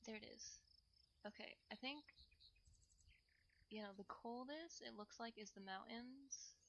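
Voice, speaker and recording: A woman's voice: a little high, unhurried, vocal fry, fairly bright tone, a little hiss or hum. A woman streamer talking informally to her viewers, rambling a little and thinking aloud. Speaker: streamer, channel host. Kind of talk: casual livestream chat with viewers.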